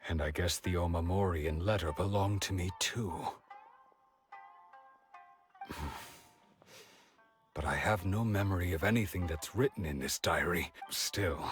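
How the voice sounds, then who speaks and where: Slow, wistful villager. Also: male voice, low voice, a bit bright. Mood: musing, nostalgic. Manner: slow, musing, sighing delivery. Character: wistful middle-aged village man, forgetful, patient, resigned. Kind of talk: video game voice acting.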